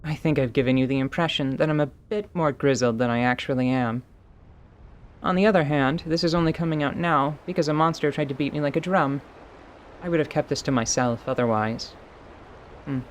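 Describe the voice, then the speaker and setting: A woman playing a world-weary winged tiefling warrior in an audio drama, with a dry, wry, deadpan manner. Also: low voice, a little fast, slight background noise, lightly acted. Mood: wry, confessional.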